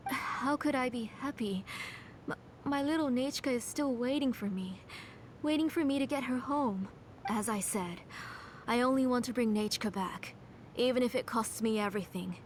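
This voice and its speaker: A woman voicing a middle-aged, grieving, obsessive mother in a video game, in a soft, hushed and fervent manner. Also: on the high side, normal speed, a little hiss or hum, natural voice.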